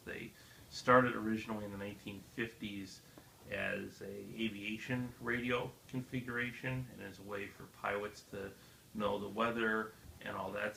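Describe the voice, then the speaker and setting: A man's voice: lowish voice, gravelly, sharp tone. A man chatting casually as a guest, explaining some history in an informal way. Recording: roomy echo with background noise.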